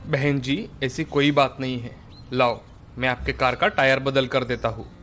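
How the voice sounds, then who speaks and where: An actor playing a poor, hardworking village laborer who is a kind father, speaking in a gentle, earnest way in an animated moral story. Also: mid-range voice, moderate pace, faint music behind, close to an everyday voice. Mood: warm.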